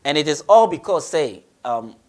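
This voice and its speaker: A man's voice: fairly high pitch, expressive, normal speed. Emphatic Ghanaian male radio host. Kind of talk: opinionated commentary mixing two languages. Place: radio studio.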